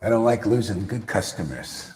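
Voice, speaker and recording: A man giving a lively spiritual talk to a live audience, slangy and repetitive, often calling listeners "man". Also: fairly low pitch, moderate pace, long reverb tail, poor audio. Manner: animated, slangy, repeats phrases, calls listeners "man". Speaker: spiritual teacher. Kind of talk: casual live-stream talk.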